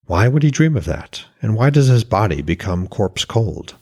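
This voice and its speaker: A man's voice: lowish voice, sing-song, moderate pace, a little mellow, slightly rough, voice pushed up. A man voicing a guarded, worried queen goddess and mother in a fantasy story.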